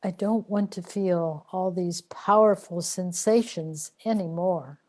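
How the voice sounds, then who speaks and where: A weary woman trapped in a cosmic limbo, played by an actress for a sci-fi radio drama. Her voice sits fairly low and moves very slowly, with a plaintive, complaining edge. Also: a little mellow. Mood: weary, fed up.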